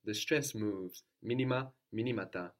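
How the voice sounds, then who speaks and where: A patient man leads a language lesson, asking questions and answering with steady praise. His voice sits on the low side, and he explains spelling points in a calm, even way. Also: normal speed. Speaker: language teacher. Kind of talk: guided language lesson with a student.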